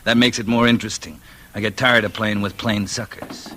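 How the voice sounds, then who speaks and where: Low-voiced, menacing radio gangster boss. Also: male voice, fairly even intonation, a little fast, sharp tone. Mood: amused, taunting. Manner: genial, understated menace. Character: smooth, menacing male gangster boss, coolly amused. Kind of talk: 1940s radio crime drama acting.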